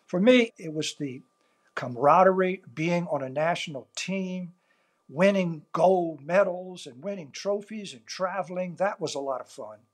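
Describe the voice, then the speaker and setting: An older man from South Louisiana speaks with a Cajun accent, his first language being Cajun French. His voice sits a bit high for a man and carries a husky edge. He answers questions in an earnest, reflective way, pausing between his thoughts. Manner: earnest storytelling, measured pauses. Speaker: author and interview guest.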